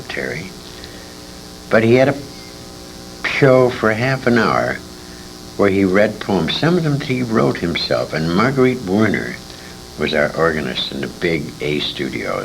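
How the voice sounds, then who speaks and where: A man's voice: extremely low pitch, gravelly. An older man, a former radio actor, reminiscing as an interview guest in a rambling, self-correcting way on a noisy amateur recording.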